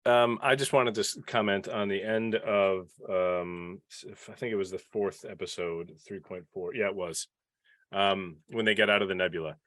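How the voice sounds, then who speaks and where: Low-voiced man in enthusiastic casual discussion. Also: fairly even intonation, normal speed, a bit bright, no echo. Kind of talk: casual panel chat about a TV show.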